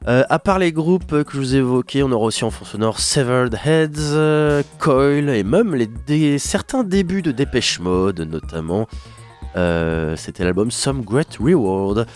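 A man hosting a radio music show, talking casually with a stuffy nose and a hoarse voice, rambling with many fillers. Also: mid-range voice, expressive, measured pace, a little mellow. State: congested and hoarse. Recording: radio studio with faint music underneath. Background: faint instrumental music.

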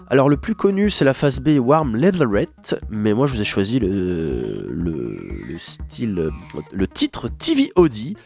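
Congested hoarse French radio host. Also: male voice, mid-range voice. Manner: animated, rambling with many fillers. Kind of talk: casual radio chat presenting music. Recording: radio studio with faint music underneath. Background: faint instrumental music.

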